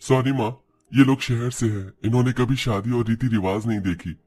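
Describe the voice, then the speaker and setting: A man playing an apologetic young husband who explains and placates in an animated family story. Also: mid-range voice, moderate pace, close to an everyday voice.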